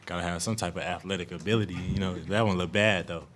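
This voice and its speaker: A young man, a college football player, answering questions at a postgame press conference in a relaxed, joking way, with lots of "you know". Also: deep voice, fast talker. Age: young adult. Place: press room in front of a logo backdrop. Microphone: table mic on a stand.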